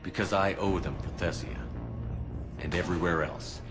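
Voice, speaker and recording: A man acts a resolute military commander from a space video game. The voice is deep, the delivery direct and supportive, in short plain sentences. Music is clearly heard behind. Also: steady tone, moderate pace, natural voice. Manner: plain-spoken, direct, brief. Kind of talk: scripted video game voice acting.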